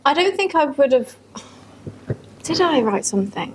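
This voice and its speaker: A young woman co-hosting a casual chat podcast, soft-spoken and gentle. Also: high voice, very animated, normal speed, low-quality recording. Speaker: podcast co-host.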